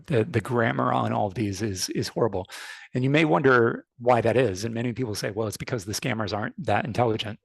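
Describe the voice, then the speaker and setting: A man's voice: mid-range voice, vocal fry, breathy edge, dry recording. A man in his forties with a North American accent, giving a conversational webinar talk full of restarts and fillers. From North America, born in Canada, raised in the United States. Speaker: fintech speaker. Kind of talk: webinar presentation. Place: online call.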